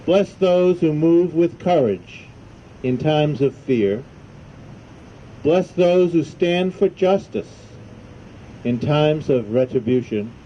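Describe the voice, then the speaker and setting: A man recites a solemn prayer very slowly, pausing between measured phrases. His voice is mellow and a little high, recorded outdoors with a muffled sound. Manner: measured phrases with deliberate pauses. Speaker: service officer leading a prayer. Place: outdoor park ceremony. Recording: dull, muffled sound with faint noise.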